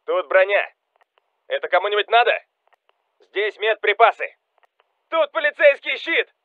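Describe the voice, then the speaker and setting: Urgent Russian soldier, high-pitched radio barks. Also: male voice, sing-song, unhurried, no room sound, narrow, tinny audio. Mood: calm, businesslike. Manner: urgent, commanding. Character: Russian special forces soldier calling out battle reports. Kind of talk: military game voice lines, clipped barks.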